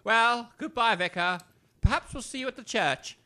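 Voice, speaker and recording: An actor playing a pompous, bad-tempered retired army major with an English accent, blustering and barking orders in a comic audio drama. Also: male voice, extremely high pitch, moderate pace, bright, forceful tone. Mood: pompous, polite.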